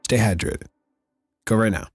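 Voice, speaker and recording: Casting: male podcast host, lowish voice, raspy and squeaky after illness, steady factual reads, faint music under. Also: normal speed. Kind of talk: read history segments and casual sign-off.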